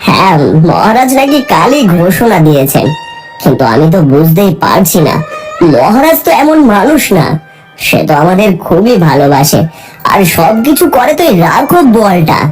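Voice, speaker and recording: A woman's voice: medium pitch, very animated, moderate pace, a little mellow, faint music behind, close to an everyday voice. A woman voicing a poor, frightened old village man who pleads in a wavering voice. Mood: fearful, pleading.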